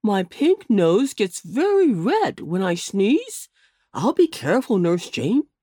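A kindly old rabbit gentleman from a storybook, put on by a woman reader, speaking gently and slowly. His voice rises and falls with lively swings. The sound is close and dry. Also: mid-range voice, no echo. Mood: cheerful.

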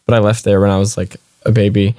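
A young man from South Florida chats as a guest, rambling easily and peppering his talk with fillers. His voice sits a little low, and the recording is close and dry, with no room around it. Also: fast, clear, resonant tone, no echo. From South Florida, United States. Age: young adult. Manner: relaxed, rambling, lots of "like" fillers. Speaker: guest, college student. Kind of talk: casual conversational interview.

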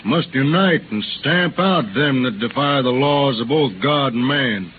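A man's voice: medium pitch, a little slow, narrow, tinny audio, natural voice. An actor playing a determined, righteous, plainspoken frontiersman in a hissy 1930s radio drama. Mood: firm, determined.

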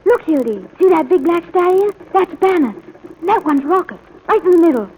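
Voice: very high voice, lively intonation, brisk, narrow, tinny audio. A performer playing an eager, dreamy, horse-mad young ranch boy, breathless with wonder, in 1940s radio drama style. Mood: excited. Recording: noisy old radio broadcast.

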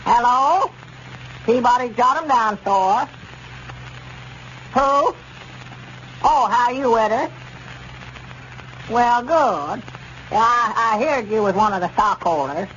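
An old-time radio recording, muffled and noisy, carries an older country storekeeper with a high voice. He has a rural Southern drawl and a homespun, plain-spoken way, easily puzzled and prone to grumble. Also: slow pace, long pauses, a bit bright, full character voice. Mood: polite, puzzled. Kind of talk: 1930s radio comedy acting.

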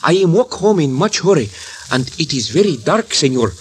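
A wary Mexican townsman tells his tale in halting, Spanish-accented English, a touch higher than most men. The old radio broadcast carries a faint hiss underneath. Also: very animated. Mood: hushed. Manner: broken English, hushed storytelling. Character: Mexican man, a wary nighttime witness. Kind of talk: 1950s radio drama acting.

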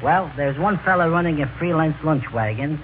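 A faintly noisy, tinny radio recording holds a somewhat high-pitched man. He is a guest answering interview questions from a script in a plain-spoken way. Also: animated, moderate pace. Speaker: radio show guest, lunch wagon operator.

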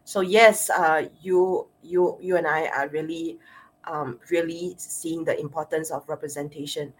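A woman's voice: low-pitched, fairly even intonation, measured pace, a little hiss or hum, rough recording. A woman with a Singaporean accent hosting an interview as a sexologist, speaking with frequent uhs and repeated words. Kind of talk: interview host.

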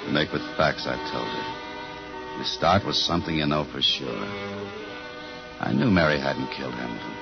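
An actor playing a tough, dogged police detective in a 1950s radio thriller, speaking in clipped, insistent lines. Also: male voice, very deep voice, normal speed, vocal fry, pauses often. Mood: determined narration. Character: tough, dogged male police detective defending his wife. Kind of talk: 1950s radio thriller acting, hard-boiled narration. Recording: muffled vintage radio recording with music.

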